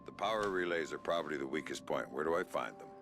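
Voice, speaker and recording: An actor voicing a gruff, bitter ex-spy in a video game, terse and sardonic with a growl. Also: male voice, extremely low pitch, lively intonation, fast talker. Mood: curt, tense. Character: gruff, menacing male ex-spy agent, bitter and curt. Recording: loud music over the voice.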